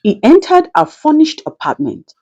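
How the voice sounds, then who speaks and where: A woman's voice: sits high, huge pitch swings, moderate pace, darkish tone, highs cut off. A woman narrating a folktale as a scripted, dramatic storyteller who speaks straight to her listeners. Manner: addresses listeners directly, proverbial.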